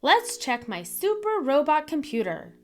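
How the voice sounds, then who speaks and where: A woman voicing a helpful, excitable little robot friend in a children's storybook read-aloud. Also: sits high, a little slow, voice pushed up. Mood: earnest, explaining.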